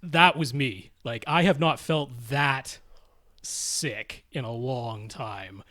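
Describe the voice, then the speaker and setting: A young man co-hosting a casual podcast, raspy as he recovers from illness, dry and matter-of-fact. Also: medium pitch, slow, sharp tone, dry recording. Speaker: podcast co-host. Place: small home studio room. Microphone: studio mic on a boom.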